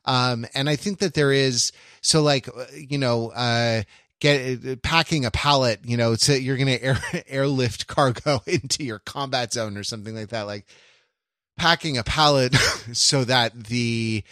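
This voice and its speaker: A man in casual podcast conversation, co-hosting with a halting, digressive manner and frequent self-correction. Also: medium pitch, a bit bright, no room sound, clean studio sound. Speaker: podcast co-host.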